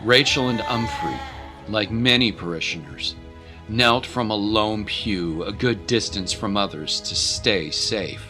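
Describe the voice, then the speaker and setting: A man's voice: fairly low pitch, animated, measured pace, over music. A man narrating a scripted dramatic story as a voice-over.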